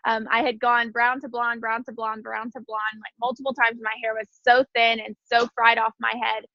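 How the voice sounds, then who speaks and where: A woman's voice: a little high, little pitch movement, normal speed, bright, forceful tone, dry recording, lo-fi sound. A woman in her twenties, slightly stuffy from sneezing, chatting casually about her story on a video call from outdoors. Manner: chatty, giggly, many fillers. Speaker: guest speaker. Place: outdoors in the wind.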